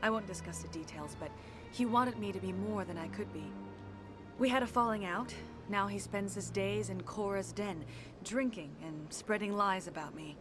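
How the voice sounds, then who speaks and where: A composed, courtly consort played by a woman with a fairly low voice. She speaks formally and deliberately, discreet and self-possessed, in a video game with clear music behind her. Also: lightly acted. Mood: earnest, discreet. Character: poised, influential alien consort, courteous and discreet.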